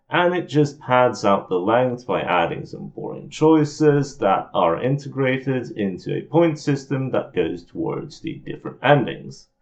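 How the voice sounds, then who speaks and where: A man's voice: medium pitch, a little mellow. A man giving an informal, scripted game review voice-over in an opinionated, grumbling, self-deprecating way. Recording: muffled lo-fi home voice recording.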